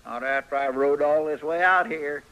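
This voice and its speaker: An actor playing a fussy, good-hearted frontier deputy in an old radio western, with a grumbling rustic American drawl. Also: male voice, on the high side, normal speed, lightly acted. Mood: scolding. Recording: noisy, crackly old radio recording.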